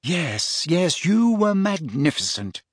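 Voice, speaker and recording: A man's voice: a little high, sing-song, takes it slowly, husky, no room sound, studio quality, pitched above the performer's own voice, full character voice. A man voicing an anxious, proper teenage girl with a cautious, fretful manner in an audiobook. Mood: amused.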